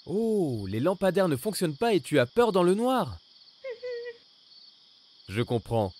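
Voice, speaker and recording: A man narrating a children's cartoon, gently questioning and reassuring the characters. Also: high voice, sing-song, measured pace, background noise.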